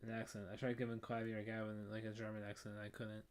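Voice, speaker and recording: A man's voice: fairly low pitch, monotone, fast talker. A man chatting casually as he plays a video game, laughing often and rambling in asides. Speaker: let's play streamer. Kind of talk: casual gameplay commentary. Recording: game music under voice. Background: game music.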